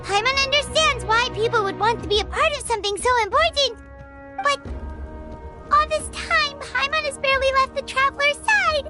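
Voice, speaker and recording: Game fairy sidekick: childlike, loyal, chatty, extremely high squeaky voice, prominent music behind. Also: female voice, normal speed. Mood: worried. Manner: exclaiming, refers to self in third person. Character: tiny childlike fairy girl companion, excitable, loyal, chatty. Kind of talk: video game character acting.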